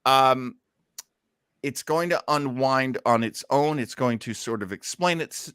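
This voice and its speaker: Casting: male host of a live chat, jokey, sharp bright voice, very close and dry. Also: medium pitch, a little slow, smooth, no echo. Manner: jokey, animated. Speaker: host of an online listening party. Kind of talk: casual live chat hosting.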